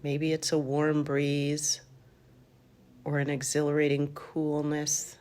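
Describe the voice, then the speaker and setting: A woman leading a guided meditation in a calm, gentle manner, in unhurried phrases with long pauses. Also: sits low. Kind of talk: guided yoga nidra meditation.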